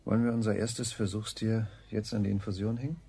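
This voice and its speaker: A man's voice: lowish voice, level tone, fairly quick, creaky, warm tone, slightly rough. An actor playing a cold, mocking king-father in a radio play, condescending and falsely soothing. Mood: sinister soothing. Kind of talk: 1970s German radio play acting.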